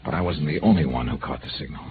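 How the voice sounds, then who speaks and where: A man's voice: rumbling low, fast talker, background noise. An American actor playing a tough, wry insurance investigator who narrates his case in 1950s radio noir style. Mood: sober narration. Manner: wry, hard-boiled, slangy. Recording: muffled vintage radio broadcast, music behind.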